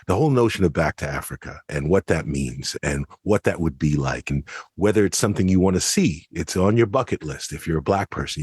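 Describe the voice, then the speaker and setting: A man's voice: rumbling low, a little mellow, breathy edge, no room sound, studio quality. A man co-hosting a podcast, giving a casual but articulate review with emphatic, explanatory phrasing. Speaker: podcast co-host.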